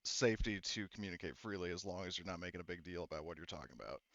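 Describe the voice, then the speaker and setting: A man's voice: low voice, steady tone, rapid-fire, fairly bright tone, dry recording, dull audio. A man casually narrating a tabletop game as game master, rambling and joking as he goes.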